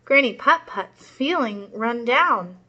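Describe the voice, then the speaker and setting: A woman voicing a small, eager young male scooter with a squeaky, excited voice in a read-aloud storybook. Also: slow, full character voice. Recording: muffled lo-fi recording.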